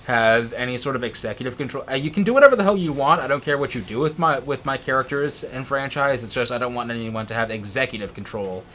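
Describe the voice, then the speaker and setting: A man's voice: medium pitch, fast talker, few pauses, a bit bright, slight background noise. A man co-hosting a let's play, chatting casually as he comments on a video game. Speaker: co-host of a gaming let's play. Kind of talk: casual gameplay commentary chat.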